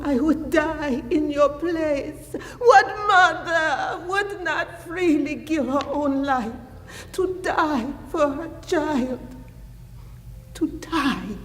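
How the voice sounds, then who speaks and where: Woman doing a grieving mother in a stage monologue, solemn n emotional, super slow with long breathy pauses, mellow voice. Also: medium pitch. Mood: anguished, tearful.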